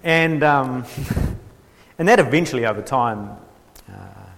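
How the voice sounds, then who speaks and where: A man gives a relaxed workshop talk at a middle pitch. He pauses often with ums and restarts, and adds a dry, self-mocking humour. His voice carries a long hall reverb. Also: vocal fry. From New Zealand. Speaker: workshop speaker on theology. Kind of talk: informal lecture.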